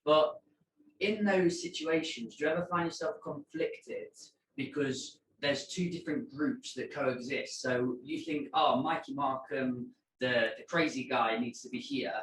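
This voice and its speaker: A man's voice: mid-range voice, recorded from a distance, a little hiss or hum. A man asking a question in a podcast studio, hesitant and searching for words. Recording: echoey room, away from the mic.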